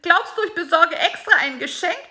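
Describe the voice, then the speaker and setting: A woman voicing a small excitable male fly with high, shrill outbursts in a storybook reading. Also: heavily acted. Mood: indignant. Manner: shrill, quick, squealing outbursts.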